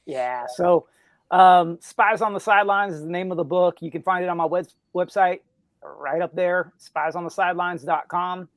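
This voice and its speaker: A man with a squeaky voice chatting casually as a guest author on a podcast. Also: moderate pace, dry recording, clean studio sound. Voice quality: high, squeaky voice.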